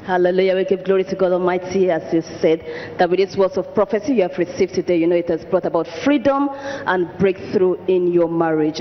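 A man conducts a church interview, firing off brisk, prompting questions. His voice sits high and moves quickly. The sound is dull and muffled, with a long echo from a large hall. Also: slight background noise. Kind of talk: testimony interview. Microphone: handheld mic.

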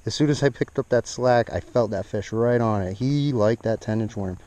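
Give me a relaxed young guy narrating a fishing vlog on a rocky shore. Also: on the low side, moderate pace, no echo. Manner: easygoing, chatty, talks to the fish and viewers. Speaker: fishing vlogger. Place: outdoors on a rocky lakeshore. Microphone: action camera, head-mounted and at arm's length.

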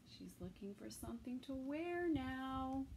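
A middle-aged woman reading a picture book aloud to toddlers, expressively, with pauses between phrases. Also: high voice, lively intonation, creaky, darkish tone, a little hiss or hum. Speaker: children's story time reader. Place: small indoor room with bookshelves.

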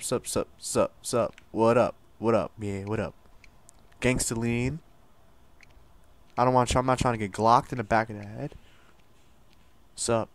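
Playful young man joking through a game. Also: on the low side. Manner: joking, laughing, swings from loud squeals to mumbles. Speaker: gameplay streamer. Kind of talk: casual let's-play commentary.

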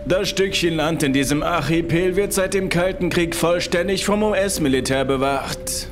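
A man's voice: a little high, normal speed, pitched above the performer's own voice, lightly acted. A man voicing a tense, nervous male boss who speaks in a stern, urgent way in a horror audio story. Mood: grave, tense. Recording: music behind the voice.

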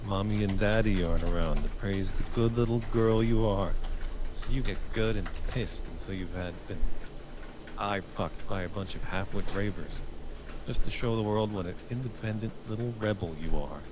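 Male reader as stern, controlling man, deep voice, noticeable background noise. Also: moderate pace, lightly acted. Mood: scornful. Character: stern, controlling men: a detective, a scornful drug dealer. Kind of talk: script table read.

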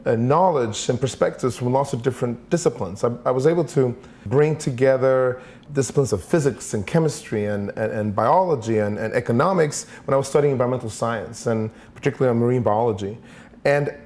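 A man's voice: mid-range voice, fairly quick, a little mellow. A man in his forties from Sweden, answering interview questions in an office, thoughtful and often repeating words as he forms his ideas. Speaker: interview guest. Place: office with large windows.

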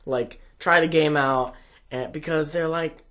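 A young man co-hosting a casual chat show, animated and quick with asides, recorded on a desk mic in a small home studio. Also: a little high. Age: young adult. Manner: animated, talks with his hands, quick asides. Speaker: co-host. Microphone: desk condenser mic.

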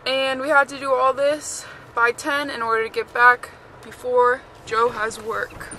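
A woman's voice: high-pitched, flat delivery, measured pace, bright, forceful tone. A young woman vlogging in casual chat, talking to the camera and to her pets on a handheld camera. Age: young adult. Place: outdoors at stables, in a car and outside. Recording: noisy outdoor and car camera audio.

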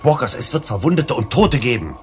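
A man's voice: medium pitch, big pitch swings, brisk, a little hiss or hum, natural voice. An actor playing a principled, cautious male psychologist in a sci-fi radio play, emphatic and lecturing. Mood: alarmed. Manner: emphatic, didactic.

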